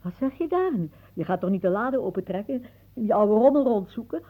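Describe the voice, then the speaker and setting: An actress playing a whimsical, dreamy elderly aunt in a radio play, musing theatrically and drifting into hushed asides. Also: female voice, medium pitch, sing-song, brisk, narrow, tinny audio. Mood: agitated, brooding.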